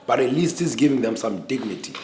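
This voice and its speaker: A man's voice: mid-range voice, expressive, fast talker, darkish tone, a little hiss or hum. A man in his twenties, a native Xhosa speaker, talking earnestly in a casual panel discussion with many restarts. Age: 20s.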